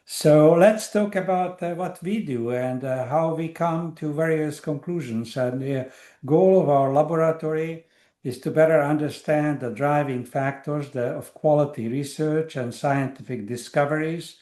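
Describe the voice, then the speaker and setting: A man's voice: on the high side, a little slow, warm tone, reverberant. Hesitant older man lecturing on a call. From Hungary. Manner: hesitant, many uh fillers and restarts. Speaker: guest lecturer. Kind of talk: academic online lecture. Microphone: video call.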